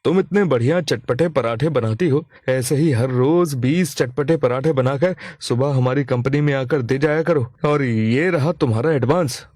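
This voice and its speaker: An actor playing earnest, emphatic everyday men in a cartoon moral story. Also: male voice, mid-range voice, moderate pace, hardly any pauses, a little mellow, no room sound, studio quality, close to an everyday voice. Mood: appreciative. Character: appreciative male customers and concerned husband, everyday men.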